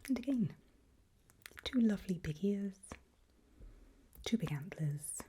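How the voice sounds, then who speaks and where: A woman playing a calm, reassuring doctor in an ASMR roleplay, speaking in a gentle breathy whisper. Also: medium pitch, slow pace, slight background noise. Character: calm reassuring female doctor running a creepy memory test.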